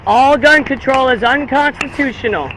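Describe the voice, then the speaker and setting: A man's voice: very high-pitched, normal speed, pushed and bright. An American man protesting on the street, declaiming repeated slogans and chatting with passersby outdoors. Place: outdoors by a busy road. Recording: noisy outdoor street, traffic behind.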